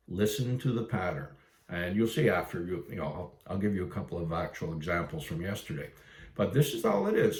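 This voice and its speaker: A man's voice: on the low side, a little mellow, roomy sound. A Canadian man giving a casual explainer for beginners in a plain-spoken, instructive way. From Ontario, Canada. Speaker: amateur radio video presenter. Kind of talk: casual explainer for new hobbyists.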